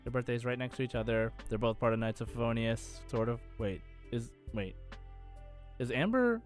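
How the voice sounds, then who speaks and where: A man's voice: mid-range voice, animated, normal speed, soft background music. A man co-hosting a casual gaming podcast, talking in a rambling, informal way. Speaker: podcast co-host. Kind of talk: casual chat about video game news.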